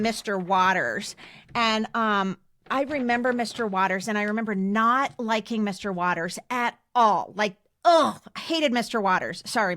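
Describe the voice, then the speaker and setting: A woman's voice: medium pitch, a bit bright, dry recording. An American woman in her fifties, a podcast co-host telling stories casually with wry emphasis. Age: 50s. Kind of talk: casual, chatty storytelling.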